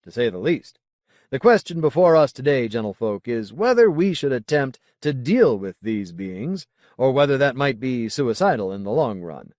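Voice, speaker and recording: A man voicing a solemn, zealous colony leader in an audiobook, speaking in a formal, deliberate, oratorical way. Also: medium pitch, sing-song, darkish tone, no echo, studio quality, pitched above the performer's own voice, close to an everyday voice. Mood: grave, urging. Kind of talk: audiobook character dialogue.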